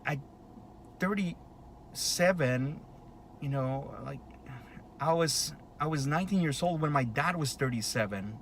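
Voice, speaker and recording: A man's voice: on the high side, measured pace, long pauses, slight background noise. Rambling man in his thirties. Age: 30s. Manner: rambling, full of fillers and restarts. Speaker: vlogger. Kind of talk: casual rambling monologue.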